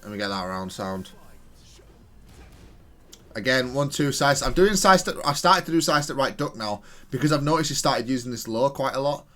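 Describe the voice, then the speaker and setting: A man's voice: medium pitch, animated, brisk, a bit bright. A man giving casual gameplay commentary, analytical and thinking aloud as he corrects himself while reviewing his own play. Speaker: video game player and content creator.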